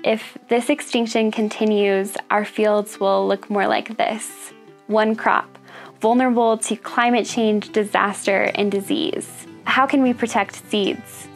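A young woman narrates a documentary in a calm, measured voice. She takes her time over each phrase, and a gravelly fry runs through her speech. Quiet music plays beneath her. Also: medium pitch. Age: young adult.

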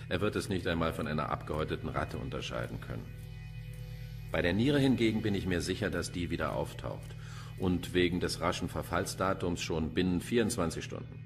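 Deep-voiced sardonic pathologist. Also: male voice, lively intonation, fairly quick, over music. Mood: cynical, dry. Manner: pompous, dry, theatrical. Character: sardonic, cynical, poetry-quoting male forensic pathologist. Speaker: actor in a crime radio play. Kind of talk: German crime radio drama acting.

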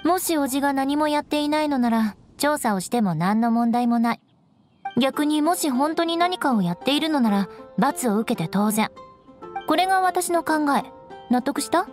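An actress playing a proud, cool young noblewoman in an anime game, composed and instructive with a haughty edge. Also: high voice.

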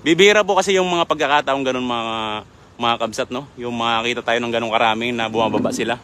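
A man's voice: medium pitch, fast, a bit bright. A young man hosting a live fishing stream outdoors by a river, greeting viewers by name in a chatty, polite manner, speaking into a handheld phone. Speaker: livestream host.

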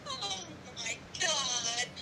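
A woman's voice: sits high, expressive, very slow, creaky, sharp tone. A middle-aged woman talking on a phone call, heard through a phone speaker. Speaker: mother. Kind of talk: phone conversation.

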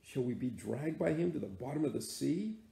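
A devout first mate pleading with his captain in earnest, archaic speech, pitched a bit higher than the reader's own voice, as a man puts him on. The tone stays gravelly and dark, heard in an echoey room. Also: lively intonation, brisk.

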